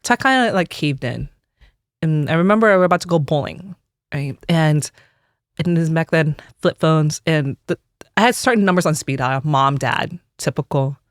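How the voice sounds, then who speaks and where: A woman's voice: low voice, normal speed, no echo, studio quality. A woman in her forties from the United States, a religious sister telling her personal story in an interview, earnest and reflective.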